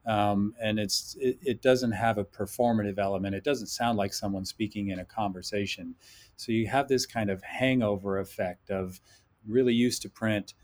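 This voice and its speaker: A man speaks as a podcast guest in a thoughtful, measured way, often hedging as he reasons aloud. His voice sits a little low. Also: steady tone, darkish tone. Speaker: guest, instructor. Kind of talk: podcast interview talk.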